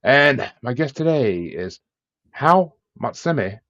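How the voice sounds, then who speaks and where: A man's voice: mid-range voice, big pitch swings, slow pace, fairly bright tone, dry recording. A man hosting a podcast interview, chatty and jokey, laughing between lines over a video call. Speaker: podcast host. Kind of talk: casual interview chat. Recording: dull dry lo-fi sound.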